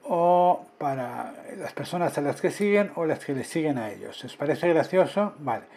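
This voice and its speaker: High-voiced male interpreter. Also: expressive, normal speed, vocal fry, darkish tone. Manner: follows the speaker in short phrases with self-corrections. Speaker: simultaneous interpreter. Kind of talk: live interpretation of a conference talk.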